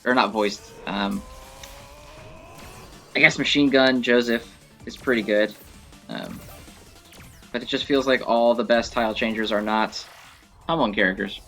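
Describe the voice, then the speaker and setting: A man's voice: mid-range voice, unhurried, long pauses, fairly bright tone. Man casually rambling over game music. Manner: conversational, opinionated, thinking aloud. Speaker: gaming video host. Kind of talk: casual rambling gameplay commentary.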